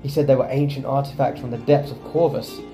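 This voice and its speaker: A man's voice: medium pitch, steady tone, fast, music in the background. A man hosting a gaming video with casual gameplay commentary.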